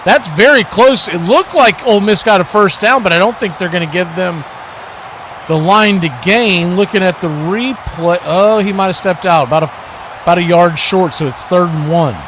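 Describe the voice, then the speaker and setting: A male sports announcer with a notably high-pitched voice delivers live football play-by-play. He works in short, clipped phrases that follow the action. A clear layer of noise sits behind his voice. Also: moderate pace. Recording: clear steady background noise.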